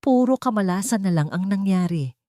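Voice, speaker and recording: A woman's voice: mid-range voice, expressive, normal speed, mellow, no room sound, clean studio sound, voice pushed up, natural voice. A woman voicing a proud, bossy wealthy older matriarch who screams and rants in a dramatized audio story. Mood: furious. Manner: screaming, tearful outbursts.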